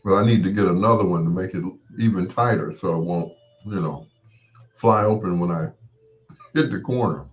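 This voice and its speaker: A man with a fairly low voice talks as a show host in casual, rambling chat. He is folksy and playful, telling things as loose anecdotes addressed straight to his listeners. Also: moderate pace. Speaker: host of a late-night show.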